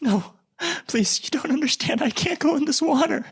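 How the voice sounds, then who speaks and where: A man's voice: very high-pitched, normal speed, dry recording, clean studio sound, voice pushed up. A man voicing a frightened young woman in an improvised radio play, desperate and stammering as they plead. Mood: panicked pleading.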